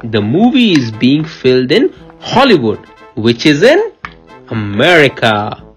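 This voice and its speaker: Low-voiced woman reading a story, lively intonation. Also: slow, soft background music. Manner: expressive, playful, teacherly, repeats answers and prompts. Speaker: parent reading a picture book to a child. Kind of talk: animated read-aloud storytelling with questions to a child.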